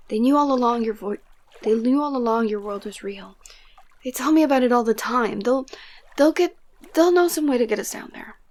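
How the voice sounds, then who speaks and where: Woman acting a high-voiced, tender young fairytale princess. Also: a little mellow, lightly acted. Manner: breathy, halting, often drops to whisper. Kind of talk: romantic ASMR roleplay acting.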